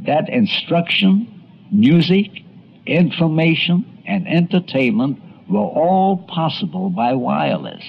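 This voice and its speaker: A man's voice: a little high, very animated, slow pace, vocal fry, slight background noise. A man reading scripted narration for a radio documentary. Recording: dull lo-fi radio documentary audio.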